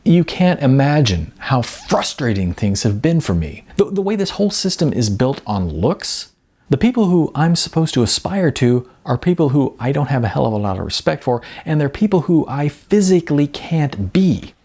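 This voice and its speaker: A man's voice: on the high side, sing-song, moderate pace, mellow, husky, voice pushed up, heavily acted. A man voicing an earnest, frustrated woman, an aspiring actress, in an audiobook. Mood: frustrated ranting. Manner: earnest, emphatic. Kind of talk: audiobook character dialogue.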